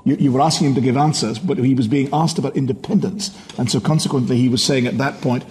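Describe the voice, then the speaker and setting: A man's voice: mid-range voice, fairly even intonation, a little mellow, slight background noise. A man, a political editor, giving an analytical take with frequent restarts. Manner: quick, stumbling restarts. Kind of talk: analytical commentary.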